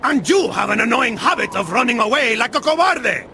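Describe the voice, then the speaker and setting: An actor playing a brash, wisecracking, cheerfully violent mercenary knight in a video game, jocular and mocking. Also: male voice, very high-pitched, animated, brisk, noisy background. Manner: jocular, mocking, loud-mouthed. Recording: dramatic music behind the voice.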